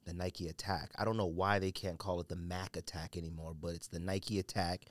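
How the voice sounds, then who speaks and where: Casting: American male podcast host, lowish voice, informal slangy solo chat, dry close sound. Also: flat delivery, a little fast, dry recording. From California, United States. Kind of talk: casual solo podcast chat about sneakers.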